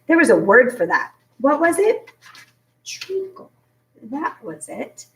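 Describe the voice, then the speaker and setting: A woman reading a children's book aloud in an expressive storytelling style. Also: high voice, slow pace. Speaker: story reader. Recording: roomy echo, distant from mic.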